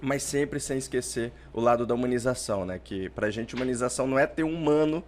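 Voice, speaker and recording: A man's voice: medium pitch, fast talker. A man, a podcast guest who answers in a chatty way, often tagging lines with "né?". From Portugal, raised in Brazil. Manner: chatty, explains with "né?" tags. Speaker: podcast guest, startup founder. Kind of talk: conversational interview answers.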